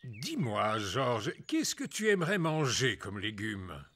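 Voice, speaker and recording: A man voicing a kind, playful grandfather pig in a cartoon dub, talking gently and teasingly. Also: medium pitch, huge pitch swings, slow, slight background noise, heavily acted. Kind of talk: cartoon dubbing. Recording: cartoon soundtrack with music.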